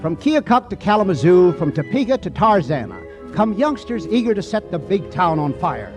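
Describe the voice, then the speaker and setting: A man's voice: sits high, big pitch swings, normal speed, music in the background. A man announcing and narrating in a formal, showmanlike 1940s radio style, reading from a script.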